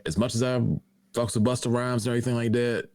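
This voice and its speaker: A man's voice: mid-range voice, flat delivery, fast talker, a little mellow, no room sound, studio quality. A man on a podcast chatting casually about an album, in loose slang with lots of "like" and "you know what I'm saying". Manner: loose slang-heavy talk full of fillers. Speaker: podcast co-host. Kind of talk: casual chat.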